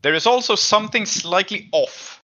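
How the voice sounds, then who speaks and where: A man's voice: sits high, animated, normal speed, pushed and bright, lo-fi sound. A man working as game master, narrating an improvised tabletop game and feeling his way through descriptions aloud.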